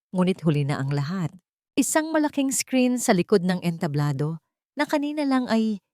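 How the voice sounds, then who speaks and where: A woman reading a scripted dramatic story as narrator, in a measured, theatrical storytelling manner. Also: on the low side, a little mellow, no echo, studio quality.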